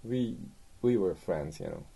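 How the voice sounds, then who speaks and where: Low, warm-toned man chatting casually. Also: lively intonation, measured pace, gravelly, husky. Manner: informal non-native phrasing, lots of "you know". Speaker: co-host guest producer. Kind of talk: casual podcast chat.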